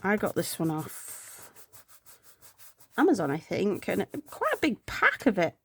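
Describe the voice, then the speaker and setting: A woman's voice: medium pitch, wildly swooping pitch, unhurried, background noise. A woman chatting casually while planning, congested and with a sore throat, rambling and sometimes murmuring to herself. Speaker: planner vlogger.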